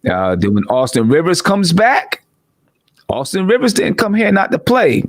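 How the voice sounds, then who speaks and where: A man talking as a podcast guest, thinking aloud in a measured way and often restarting his sentences. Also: high voice, wildly swooping pitch, dry recording. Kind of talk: casual sports talk.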